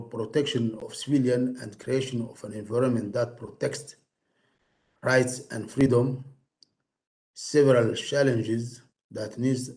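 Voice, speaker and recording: A man giving a formal conference presentation, speaking in a halting, deliberate way. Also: medium pitch, mellow.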